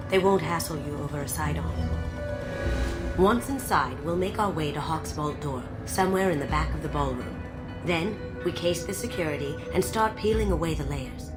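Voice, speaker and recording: Sly female master thief for a game: low voice, breezy teasing, dry wit, loud music underneath. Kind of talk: video game cutscene acting.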